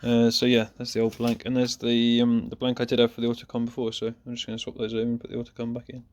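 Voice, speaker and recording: A man's voice: mid-range voice, monotone, quick pace, a little mellow. A man talking casually and off the cuff as he presents a hands-on DIY demonstration, informal and self-deprecating. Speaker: car hobbyist presenting a DIY video.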